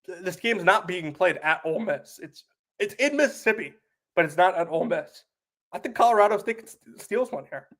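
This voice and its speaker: Sick young man on a podcast, higher voice. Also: expressive, normal speed, fairly bright tone. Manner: lots of "like" fillers, chatty. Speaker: podcast co-host. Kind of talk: casual sports podcast chat.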